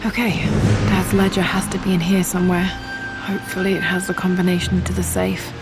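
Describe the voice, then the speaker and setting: An actress playing a curious young British adventurer, musing quietly to herself in a video game. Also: female voice, fairly low pitch, monotone, brisk, loud music behind the voice, close to an everyday voice. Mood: determined. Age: young adult. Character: curious, determined young adventurer daughter exploring her manor. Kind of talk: in-game character monologue.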